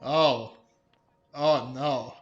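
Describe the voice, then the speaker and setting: A man's voice: mid-range voice, steady tone, extremely slow, echoey room, highs cut off, soft background music. A man hosting a gameplay video with casual, hesitant commentary, searching for his words. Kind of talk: casual let's play commentary.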